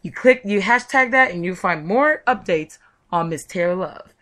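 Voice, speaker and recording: A woman's voice: mid-range voice, expressive, moderate pace, fairly bright tone. A woman hosting a chatty promotional interview, talking in an effusive, gushing way. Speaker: show host and interviewer.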